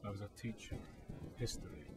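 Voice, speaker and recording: A man's voice: fairly quick, close to an everyday voice. A man playing a wounded, bitter and wary British soldier in a war radio drama. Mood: weary, hostile. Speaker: lead actor and narrator.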